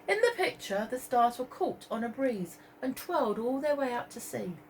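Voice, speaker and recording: A woman reading a story aloud to young children as a teacher, expressively, pausing to point out pictures and ask questions. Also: a little high, sing-song, a little hiss or hum, rough recording. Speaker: primary school teacher.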